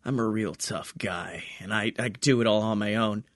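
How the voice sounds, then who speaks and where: A man voicing a swaggering space hero who boasts deliberately of being a tough loner. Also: fairly low pitch, a bit bright, no echo, clean studio sound, voice pushed down, lightly acted. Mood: boastful.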